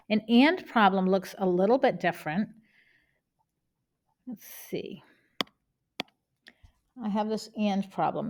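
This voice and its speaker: A woman with a Boston accent teaching a math lesson, explaining each step plainly and checking in with "okay?". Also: medium pitch.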